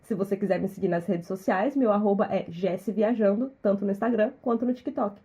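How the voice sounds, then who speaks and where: A woman's voice: mid-range voice, a little fast, mellow, slight background noise. A woman from Minas Gerais with a Brazilian accent, hosting a podcast and explaining at length, repeating small words as she thinks. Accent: Brazilian Portuguese. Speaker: podcast host. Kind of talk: casual podcast chat.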